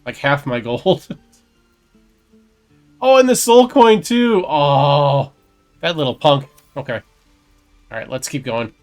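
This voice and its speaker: A man's voice: fairly high pitch, lively intonation, slow pace, pauses often, soft background music. A man doing casual video game playthrough commentary, muttering to himself as he plays. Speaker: gaming streamer.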